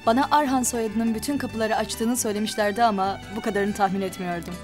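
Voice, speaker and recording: A woman's voice: medium pitch, steady tone, brisk, over music, lightly acted. A young actress playing a proud, wary half-sister who answers her brother in a cool, slightly sarcastic way. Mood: guarded. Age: young adult. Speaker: newly recognized daughter of a rich family. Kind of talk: television drama acting.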